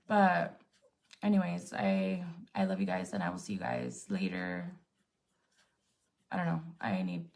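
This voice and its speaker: A woman's voice: on the low side, vocal fry, a little mellow. A young woman influencer venting bluntly and defiantly to her viewers on a live stream, swearing often and filling with "like". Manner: blunt and defiant, swears a lot, many "like" fillers. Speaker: influencer on a livestream.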